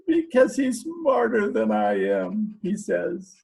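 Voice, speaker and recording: A man playing a dim, bumbling henchman in a 1940s-style radio comedy, croaky, whiny and slangy. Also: high voice, huge pitch swings, darkish tone, exaggerated voice. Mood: sheepish. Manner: slow-witted, whiny, slangy, ungrammatical. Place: remote video-call performance.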